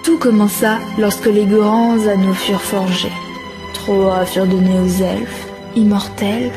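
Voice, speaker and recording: A woman playing a solemn, wistful narrator of an ancient epic, measured, in a film prologue dub. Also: mid-range voice. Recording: music under the voice.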